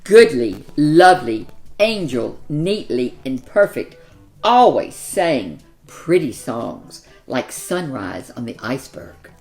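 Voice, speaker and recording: Lady reading a kids book, slow n super animated, kinda low voice, dramatic pauses. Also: soft background music. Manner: deliberate, dramatic phrasing. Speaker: children's storyteller. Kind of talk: expressive picture-book read-aloud.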